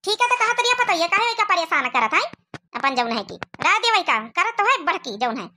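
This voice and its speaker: A woman plays an old Awadhi mother-in-law from a village cartoon comedy. The voice is extremely high and very bright. She fusses and exclaims in a rustic, indulgent way. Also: big pitch swings, fairly quick, slight background noise, exaggerated voice. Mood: placating.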